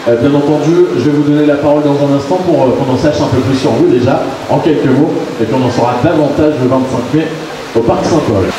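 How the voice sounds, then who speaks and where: A man's voice: mid-range voice, fast talker. A man presenting pageant candidates to the press, upbeat and hesitant with many fillers. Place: large echoey hall. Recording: very echoey hall with loud noise.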